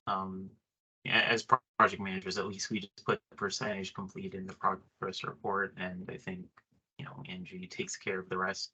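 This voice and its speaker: Male project manager, very sharp, tense tone with a rough husky edge, tentative in a work meeting. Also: low-pitched, rough recording. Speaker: project manager attending training.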